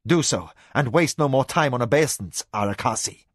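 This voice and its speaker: A man's voice: mid-range voice, animated, moderate pace, dry recording, clean studio sound, close to an everyday voice. Man doing a desperate young noble lord. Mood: commanding. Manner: urgent, impassioned. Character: young male noble, desperate, commanding, anguished.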